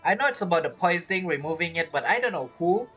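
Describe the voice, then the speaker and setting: Let's play streamer, very animated and bright, rambling reactions over game music. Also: medium pitch, fast. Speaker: gaming streamer. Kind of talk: casual let's play commentary.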